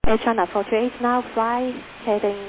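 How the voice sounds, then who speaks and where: A woman's voice: on the high side, level tone, moderate pace. A woman working as an air traffic controller, giving clipped instructions to pilots over aviation radio. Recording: muffled air traffic radio, faint static.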